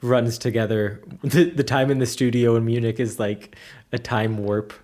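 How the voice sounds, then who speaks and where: A man's voice: mid-range voice, little pitch movement, moderate pace, a little mellow. A man co-hosting a podcast, chatting casually and often thinking aloud. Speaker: podcast co-host. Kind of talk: casual chat.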